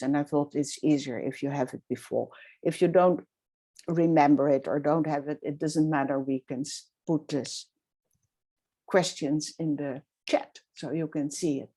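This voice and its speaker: Low-voiced woman facilitating an online session. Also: dark tone. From Netherlands. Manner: halting, many fillers. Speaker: workshop facilitator. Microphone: video call.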